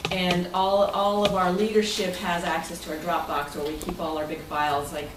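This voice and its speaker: A woman's voice: lowish voice, flat delivery, moderate pace. Distant, roomy-sounding woman presenting informally. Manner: chatty, rambling, loosely phrased. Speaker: club president. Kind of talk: informal presentation to club members. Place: room at a club meeting. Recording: roomy, noisy recording from far off.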